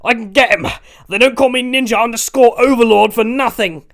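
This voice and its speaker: A man's voice: very high-pitched, brisk, fairly bright tone, pitched above the performer's own voice. A man voicing a cocky young male gamer hero with a grandiose, declamatory delivery. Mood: roaring defiance.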